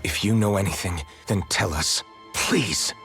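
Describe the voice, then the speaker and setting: A man's voice: low-pitched, unhurried, vocal fry. An actor playing an earnest, resolute young rebel swordsman in a video game, direct and sincere. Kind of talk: English video game dub acting. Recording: music behind.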